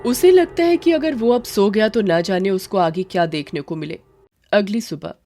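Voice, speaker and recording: A woman's voice: medium pitch, normal speed, mellow. A woman narrating a scripted horror tale in storybook style. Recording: music behind.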